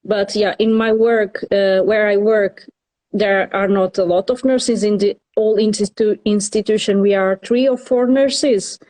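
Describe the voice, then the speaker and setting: A woman from Portugal speaking English, a nurse talking haltingly in a casual interview. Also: mid-range voice, fairly even intonation, mellow.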